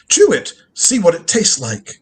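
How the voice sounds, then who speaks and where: A man's voice: on the high side, huge pitch swings, slow pace, husky, exaggerated voice. A man playing a crotchety, proud old grandfather and time-travelling inventor in a live radio drama reading, with an imperious, lecturing manner. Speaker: lead actor in a live script reading.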